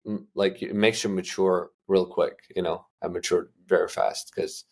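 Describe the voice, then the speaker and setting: Young male guest, from Uzbekistan, deep and gravelly, relaxed rambling answers. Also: normal speed. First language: Russian. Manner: loose, filler-heavy, many restarts. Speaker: guest entrepreneur. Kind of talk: casual interview answers.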